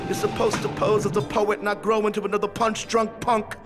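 A man's voice: high-pitched, completely flat delivery. A man in his thirties rapping a dense, defiant verse on a recorded track. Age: 30s. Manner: dense rapid-fire rhymes, defiant. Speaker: rapper. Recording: vocal over loud music.

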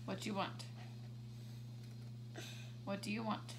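A woman's voice: mid-range voice, level tone, slow pace, noisy background. A woman playing with a toddler on a living room floor, patiently prompting simple words and manners. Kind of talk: coaching a toddler through play. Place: carpeted living room at home, indoors.

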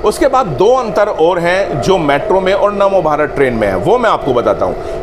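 High-pitched man, noisy surroundings. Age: middle-aged. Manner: conversational, demonstrative, addresses viewers directly. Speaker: vlog host. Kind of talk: casual explanatory travel vlog. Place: on a moving train and around stations. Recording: clearly noisy train and station ambience. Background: train and vehicle noise.

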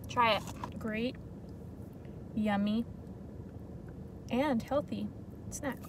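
A woman's voice: on the high side, very slow. A woman in her twenties chatting casually to the camera in short, informal asides, as if half talking to herself inside a car. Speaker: vlogger. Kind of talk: casual vlog chat while preparing food. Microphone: phone propped at about arm's length. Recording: distant mic, loud vehicle noise.

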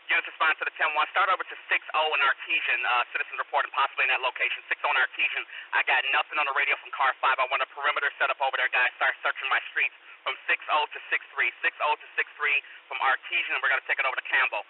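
Fast, commanding male dispatcher over crackly radio. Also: mid-range voice, fairly even intonation. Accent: American. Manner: commanding, repeats instructions. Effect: two-way radio. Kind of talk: urgent police radio dispatching. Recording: noisy phone-like police scanner radio.

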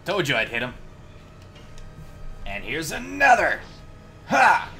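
A man banters casually over a game with lively swings in pitch. His delivery is unhurried and bright, full of swearing and exclamations, with faint noise behind him. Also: vocal fry, soft background music. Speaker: amateur voice actor in a game dub.

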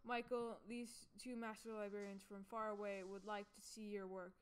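A proud, welcoming monk from a storybook, read by a woman, explains things to his guests. The voice is clear and smooth, at a medium pitch. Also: flat delivery, moderate pace, lightly acted.

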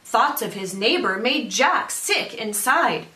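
A woman's voice: on the high side, lively intonation, slow, bright, forceful tone. A woman in her thirties, a teacher, reading a children's storybook aloud expressively. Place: small indoor room with brick wall. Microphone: camera a few feet away. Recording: echoey room, mic at a distance.

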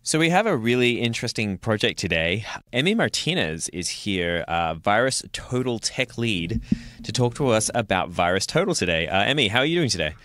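A man's voice: lowish voice, no echo. A man co-hosting a tech podcast in a casual, jokey chat. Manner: animated, jokey, fast-talking. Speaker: podcast co-host.

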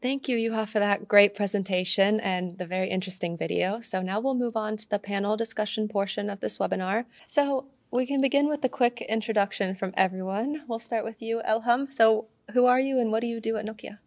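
A woman's voice: medium pitch, fairly even intonation, a little fast, few pauses, no room sound. A woman moderating a webinar panel, with upbeat scripted transitions.

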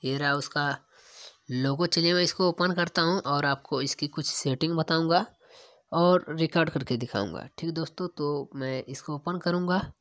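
A man's voice: fairly high pitch, moderate pace, a bit bright. A young man, hosting a casual tech tutorial. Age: young adult.

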